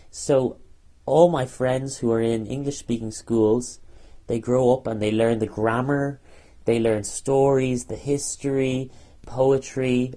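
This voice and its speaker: A young man with a Dublin Irish accent speaking earnestly off the cuff, halting and often repeating phrases as he goes. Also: mid-range voice, warm tone. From Ireland. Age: young adult. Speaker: interviewee, university student. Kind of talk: unscripted personal talk.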